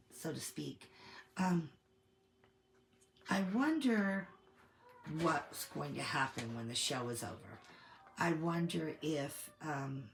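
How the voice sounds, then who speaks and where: Low-voiced woman with a cold, echoey room. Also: creaky. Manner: opinionated, measured, thinking aloud. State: getting over a cold, slightly hoarse. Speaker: co-host of a TV commentary channel. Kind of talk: casual opinion commentary.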